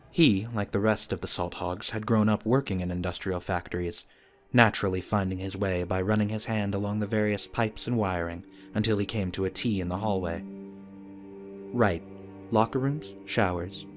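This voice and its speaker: A man serves as narrator of a scripted audio drama. His voice sits fairly low, and music plays faintly behind it.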